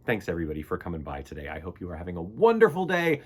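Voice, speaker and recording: Expressive man hosting children's storytime. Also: low voice, rapid-fire, breathy edge. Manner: expressive, emphatic, folksy. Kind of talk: animated storybook narration and friendly address to children.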